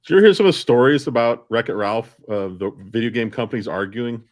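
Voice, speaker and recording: A man's voice: mid-range voice, fast talker. A man hosting a casual panel chat, prompting the others with questions. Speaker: panel host.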